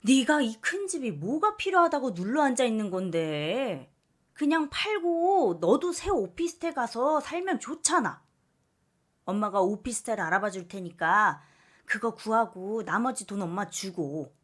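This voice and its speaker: A woman's voice: fairly high pitch, big pitch swings, a little slow, a bit bright, heavily acted. A woman voicing a greedy, shameless middle-aged mother in a story, pushy and accusing. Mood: nagging. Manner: pushy, accusing, whining demands.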